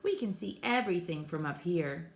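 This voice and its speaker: A woman's voice: lowish voice, expressive, normal speed, creaky. A woman voicing an eager, take-charge young boy playing pretend in a children's storybook, recorded in an echoey room. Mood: adventurous, reassuring. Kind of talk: children's storybook character reading.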